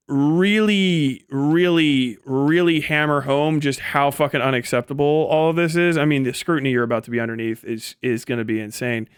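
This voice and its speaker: A man's voice: a little high, clear, resonant tone, dry recording, studio quality. A man giving casual, unscripted livestream commentary, rambling and incredulous, with slangy talk and heavy swearing. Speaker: live streamer and political commentator.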